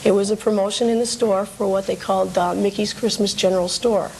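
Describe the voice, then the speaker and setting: A woman with a creaky, fry-edged voice talks as an interview guest about the things she collects. She is informative and matter-of-fact, in a conversational register. The recording is an old cable TV tape with faint hiss. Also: medium pitch, steady tone, brisk, a little mellow, rough recording. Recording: hissy 1980s cable TV recording.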